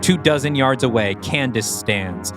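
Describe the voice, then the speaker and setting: A man narrates a scripted audio play, setting scenes and action in present tense. His voice sits at a medium pitch. Music plays clearly underneath him. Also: expressive, unhurried. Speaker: narrator reading stage directions.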